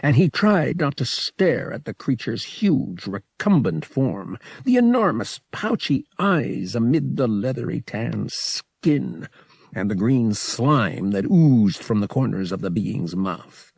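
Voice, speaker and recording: Guy reading a novel aloud, audiobook narrator, lively swooping delivery, rough raspy voice. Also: mid-range voice, takes it slowly, no room sound, clean studio sound. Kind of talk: scripted fiction narration.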